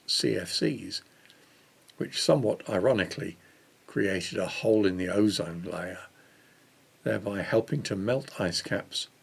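Low, gravelly older man reading. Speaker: reader of a church Lent devotional. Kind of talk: reading a devotional book aloud.

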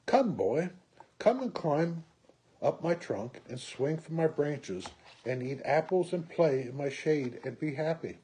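Storybook tree, female, loving and generous, read by a man. Mellow, unhurried, lilting delivery. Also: a little high, animated, a little hiss or hum. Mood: inviting. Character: gentle, loving, giving female apple tree.

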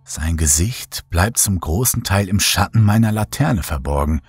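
A man narrating a horror audiobook in the first person, measured, somber and suspenseful, with dramatic delivery. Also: deep voice, lively intonation, husky, no room sound, soft background music.

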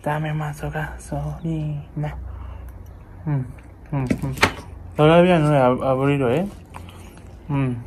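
Young Japanese-accented man vlogging slowly on the street. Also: medium pitch. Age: young adult. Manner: chatty, repeats words, addresses friends. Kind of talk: casual vlog chat. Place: city street outdoors, then indoors at a table. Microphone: wireless earbuds, phone at arm's length. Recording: street noise behind.

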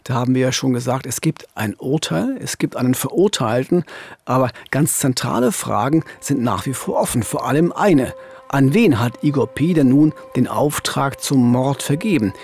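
A man's voice: mid-range voice, expressive, normal speed, a little mellow, husky, soft background music. A man in his fifties telling true crime cases in a conversational, narrated podcast style as host. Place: small dark radio studio, indoors. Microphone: studio microphone on a boom arm, close.

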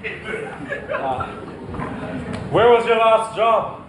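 An actor playing a genial, hesitant husband trying to keep the peace in a comic stage play. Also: male voice, high voice, huge pitch swings, drawn-out words, exaggerated voice. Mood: genial, flustered. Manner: hesitant, conciliatory. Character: genial, placating husband smoothing things over. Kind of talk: comedic stage play acting. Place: theatre stage, far mic. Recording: echoey, noisy, distant theatre recording.

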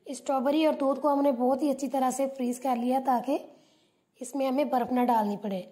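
Woman, cooking host, calm recipe voice-over, warm mellow timbre. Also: high-pitched, fairly quick. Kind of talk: step-by-step recipe narration. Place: home kitchen.

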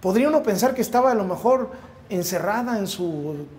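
A man's voice: high-pitched, expressive, a little fast, a little mellow. A man in his forties with a Mexican accent, giving emphatic political commentary straight to camera and repeating phrases for effect. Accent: Mexican Spanish. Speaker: news commentator. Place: wood-paneled indoor set. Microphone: lapel mic, framed to camera.

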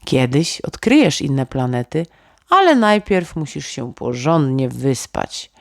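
A woman voicing a caring, practical mother in a children's bedtime story, speaking affectionately and gently instructing. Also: very deep voice, sing-song, slow, gravelly, no room sound, studio quality, exaggerated voice. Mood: fond, instructing.

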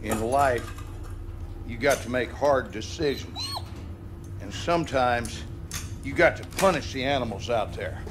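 A man's voice: medium pitch, measured pace, long pauses, noisy background, natural voice. An actor playing a cruel, bigoted, abusive middle-aged father with a Southern American accent in a video game drama. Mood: cold, goading. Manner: menacing, bullying, contemptuous.

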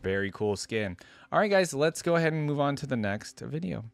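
A young man delivers casual reaction commentary at a middling pitch, close on a desk microphone. He often reads text aloud as he goes, with clear music playing behind him. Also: very animated, brisk, dry recording. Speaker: reaction video host. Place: indoor gaming setup. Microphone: desk microphone close to the speaker. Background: game video music.

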